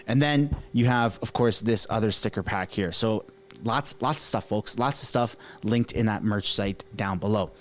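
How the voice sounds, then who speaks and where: A man whose first language is French gives rambling, sarcastic live commentary as a streaming host, chatting directly with his viewers. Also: mid-range voice. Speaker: livestream political commentator.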